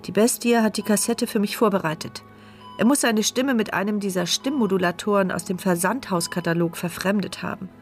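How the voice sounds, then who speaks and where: An actress as a wounded woman in a radio thriller, telling the story from inside her head. She is sardonic and watchful, with a medium-pitched voice over faint music. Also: a little fast, lightly acted. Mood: drowsy, brooding. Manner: inward, sardonic monologue. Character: injured, mute woman narrating her thoughts, wry and wary. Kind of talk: first-person radio crime drama narration.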